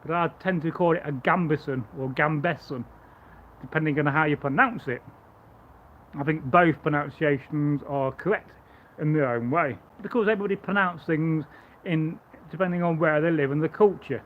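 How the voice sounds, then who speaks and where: A man live-streaming as a Viking reenactor, chatting loosely and stammering through restarts with a loud voice, outdoors at home. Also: a little high, moderate pace, phone-quality sound, a little hiss or hum. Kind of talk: casual live stream chat and demonstration.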